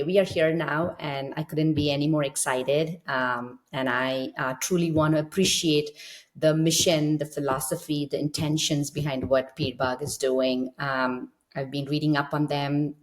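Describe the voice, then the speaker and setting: A middle-aged woman with a notably low, deep voice. She talks in a measured, earnest way, reflecting on her own path as an interview guest. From India. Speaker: guest, children's author. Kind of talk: casual interview storytelling.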